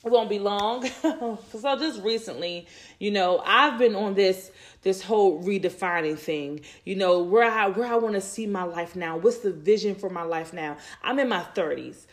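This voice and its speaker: A woman's voice: medium pitch, normal speed. A woman in her thirties talking to listeners about faith and relationships, rambling and repeating herself with many fillers. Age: 30s. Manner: rambling, repeats words, many "you know"s. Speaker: podcast host. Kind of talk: faith-based personal talk.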